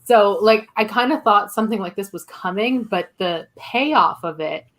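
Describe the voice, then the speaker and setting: A woman's voice: medium pitch, lively intonation, moderate pace, a bit bright. A woman guesting on a podcast, chatting casually in a conversational way peppered with "like". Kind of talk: casual review chat.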